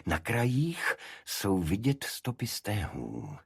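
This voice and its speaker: A confident Victorian detective, read by a male narrator, with a low, husky voice. He lays out deductions deliberately and precisely in a dry, close audiobook sound. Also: wildly swooping pitch, no echo, exaggerated voice. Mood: absorbed, analytical.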